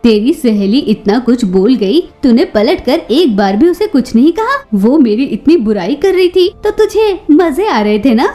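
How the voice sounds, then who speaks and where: A woman's voice: high voice, very animated, a little slow, hardly any pauses, warm tone, soft background music. A woman voicing a quarrelsome, bullying older female bird mother-in-law in a cartoon story, scolding and sarcastic. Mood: accusing. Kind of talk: Hindi children's cartoon story acting.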